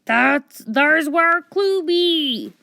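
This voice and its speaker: A woman voicing a gruff, blustering male pirate captain with a stage pirate accent in a storybook. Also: high-pitched, lively intonation, very slow, sharp tone, dry recording, pitched above the performer's own voice, exaggerated voice. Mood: amazed. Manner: barking, snarling, boastful.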